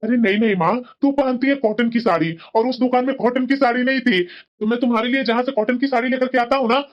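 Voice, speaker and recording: Young son, chatty and dutiful, fairly high voice, woman acting. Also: fast talker, lo-fi sound, natural voice. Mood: cheerful. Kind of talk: homemade family skit acting.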